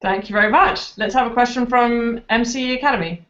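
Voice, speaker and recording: A woman's voice: a little high, a little fast, a bit bright, a little hiss or hum. A woman moderating a school video chat, polite and a little hesitant with fillers as she introduces people. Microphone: video call. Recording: dull lo-fi video call audio.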